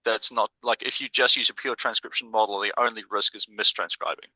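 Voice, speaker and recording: A man's voice: fairly low pitch, steady tone, a little fast, pushed and bright, dry recording. A man with an accent between New Zealand and English, explaining technical points in a casual online meeting. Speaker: community member in online meeting. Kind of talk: casual discussion. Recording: slightly muffled call audio.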